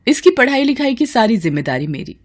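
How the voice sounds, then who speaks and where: A woman's voice: a little high, sing-song, fast, noisy background, voice pushed up. A woman voicing a kindly, generous female senior officer in a cartoon story, speaking in an affectionate, assured, welcoming manner. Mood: proud, assured. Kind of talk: Hindi cartoon story dialogue.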